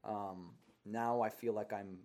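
A man's voice: on the low side, fairly even intonation, slow, darkish tone. A young man from Minnesota hosting a casual faith podcast, talking in an earnest, easygoing way with frequent fillers and restarts. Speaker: podcast host.

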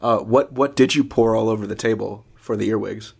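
A man's voice: on the low side, fairly quick, creaky, a little mellow, slightly rough. A man hosting a podcast, asking questions in a conversational interview style.

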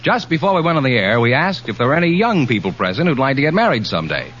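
A man's voice: medium pitch, very animated, quick pace, fairly bright tone. An American man announcing contestants on a vintage radio show in old-time announcer style. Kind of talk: 1940s-50s radio announcing. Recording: muffled old radio recording, faint noise.

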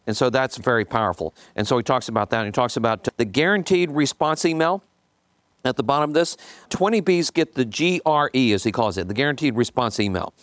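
A man's voice: medium pitch, no room sound. A man giving an informal coaching talk, reading bits from a book and commenting, often repeating points for emphasis and checking in with listeners. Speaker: business coach leading a group session.

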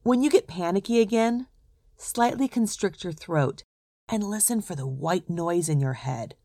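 Expressive, low-pitched woman podcast host. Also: unhurried, dry recording, studio quality. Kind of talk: scripted solo podcast monologue.

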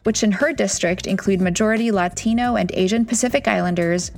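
A woman's voice: medium pitch, fairly quick, gravelly, faint music behind. A woman narrating a scripted documentary podcast as its host.

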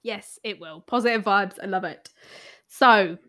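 A woman's voice: medium pitch, a little slow, bright, forceful tone. A woman hosting a podcast, bubbly and chatty, who swears casually while she introduces a guest and interviews her. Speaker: podcast host.

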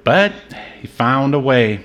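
Male podcast co-host, mid-pitched, casual and jokey film banter with a readiness to laugh. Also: big pitch swings, slow pace, very noisy. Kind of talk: casual movie review banter.

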